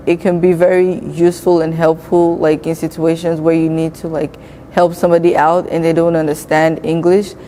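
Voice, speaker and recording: Young woman, student, soft-spoken, mellow, lowish, faint room noise. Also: poor audio. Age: young adult. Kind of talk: interview answers.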